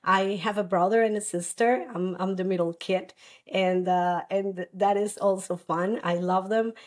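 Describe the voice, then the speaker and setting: Woman from Costa Rica, thirties. Earnest interview guest, halting delivery with frequent restarts. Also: medium pitch, steady tone. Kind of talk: personal story in an interview.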